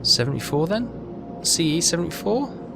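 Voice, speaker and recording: A man's voice: fairly high pitch, big pitch swings, moderate pace, gravelly, music in the background. A man hosting a game playthrough, casually thinking aloud and reading bits of on-screen text as he works things out.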